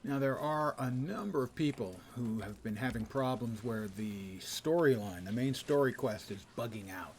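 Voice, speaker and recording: A man's voice: mid-range voice, normal speed, few pauses, darkish tone. A man doing casual live gameplay commentary as a streamer, chatting wryly to himself and his viewers. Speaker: game livestreamer. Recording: noisy, game sound behind. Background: video game ambience.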